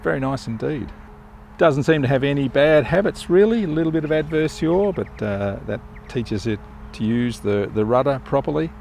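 An older man flying a model plane in an open field outdoors, giving relaxed, enthusiastic commentary as he flies. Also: medium pitch, normal speed, creaky, a little hiss or hum. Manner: relaxed, enthusiastic, thinking aloud while flying. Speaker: RC pilot reviewing a plane. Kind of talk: casual live flight commentary.

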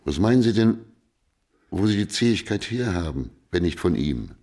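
An actor playing a blunt, unsentimental middle-aged private detective in a radio drama, brusque and matter-of-fact. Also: male voice, low-pitched, very animated, normal speed, mellow, full character voice. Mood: firm, dryly reassuring.

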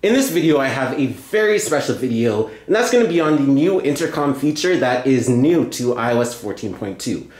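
A man's voice: medium pitch, big pitch swings, fairly quick, hardly any pauses, a little mellow. A man hosting a tech video, narrating a hands-on tutorial step by step and often repeating himself. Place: indoor room at a table. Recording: roomy, echoey indoor sound.

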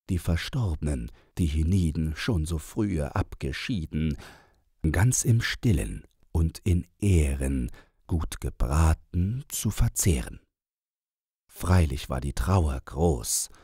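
A man narrating a rhymed children's story as an audiobook, in a deliberate, theatrical storytelling manner. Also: deep voice, warm tone, breathy edge, no room sound, clean studio sound.

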